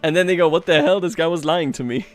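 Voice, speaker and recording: A man's voice: fairly high pitch, quick pace, faint music behind. A man hosting a livestream, chatting casually and thinking aloud over a strategy game in a wry, playful way. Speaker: livestream host.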